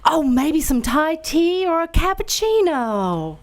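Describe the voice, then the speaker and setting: A woman's voice: high voice, very animated, slow pace, exaggerated voice. A woman playing an eager coffee customer.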